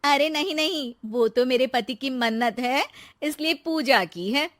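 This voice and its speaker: A woman's voice: sits high, unhurried, fairly bright tone. A woman voicing a clever, fed-up young housewife in a cartoon, sounding sweetly sly. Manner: pleading, then sweetly sly. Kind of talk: cartoon voice acting.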